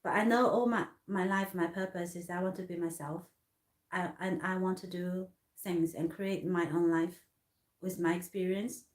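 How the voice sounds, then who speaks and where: A woman from Hong Kong in her thirties telling a personal story in halting English with many restarts. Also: on the low side, fairly even intonation, roomy sound. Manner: halting, many restarts and fillers, non-native grammar. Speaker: artist vlogger.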